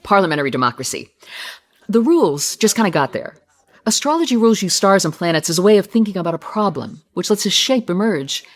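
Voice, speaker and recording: An actress playing a self-assured celebrity astrologer, composed, patient and knowing, in a radio comedy. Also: female voice, medium pitch, big pitch swings, quick pace. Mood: candid. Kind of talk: radio comedy drama acting.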